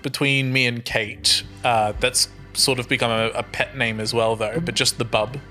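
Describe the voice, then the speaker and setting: A man's voice: mid-range voice, steady tone, moderate pace, pushed and bright, soft background music. A man chatting casually on a podcast. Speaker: podcast cast member. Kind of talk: casual chat.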